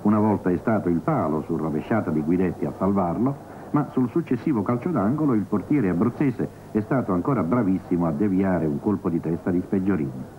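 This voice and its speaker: A man reporting on football, reading a scripted highlights commentary over match footage. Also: a little fast. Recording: noisy, phone-like old television broadcast.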